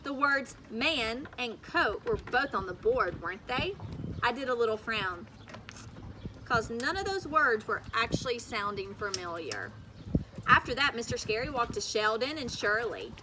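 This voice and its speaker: A woman's voice: on the high side, big pitch swings, a little slow, noisy background. A woman reading a children's story aloud as a teacher, narrating expressively with emphatic, childlike phrasing.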